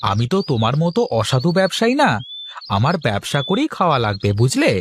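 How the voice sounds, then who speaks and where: A man's voice: a little high, huge pitch swings, quick pace, a little hiss or hum. A man voicing brash village market folk in a cartoon, with a blunt, teasing, colloquial manner. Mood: complaining. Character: brash male market vendor and haggling customer, teasing, grumbling. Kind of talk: cartoon character dialogue.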